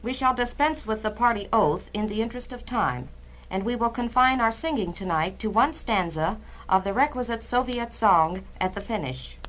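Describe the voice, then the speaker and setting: A woman's voice: fairly high pitch, animated, moderate pace, a bit bright. A woman playing a businesslike Communist cell leader, chairing with stiff formality in a 1960s dramatized style. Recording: noisy vintage spoken-word LP.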